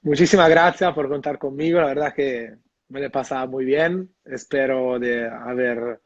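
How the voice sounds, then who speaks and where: An Italian-accented man talks about his bar in an easy, halting way, with lots of "eh" and "¿no?". His voice is muffled and dry, with no room echo, as if he is on a video call. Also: medium pitch. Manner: hesitant, many fillers and tag questions. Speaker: bartender guest. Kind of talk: casual interview over video call.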